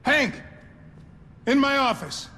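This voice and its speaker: A man's voice: very high voice, animated, slow, crowd noise, exaggerated voice. An actor playing a stern, exasperated male police captain who gives blunt, profane orders. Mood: commanding.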